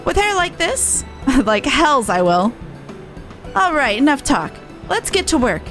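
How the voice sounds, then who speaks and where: A woman's voice: sits high, sing-song, unhurried, a bit bright, soft background music, heavily acted. A woman voicing a young cat-folk resistance soldier in a game, earnest, battle-worn and blunt. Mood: playful, businesslike. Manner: earnest, blunt, rough-and-ready phrasing. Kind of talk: game dialogue voice-over.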